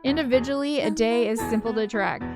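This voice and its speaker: Woman doing a visual novel read-along, expressive, kinda giggly and casual, music playing behind her. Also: a little high, brisk. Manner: giggly, breaks off into casual asides. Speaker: let's play host reading a visual novel aloud. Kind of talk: expressive read-aloud narration with casual commentary.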